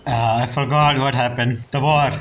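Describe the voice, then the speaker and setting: A man giving casual let's-play commentary as a game streamer, chatty and informal, with halting phrasing. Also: medium pitch, fairly even intonation, a bit bright, rough recording. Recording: game music behind the voice. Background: game music.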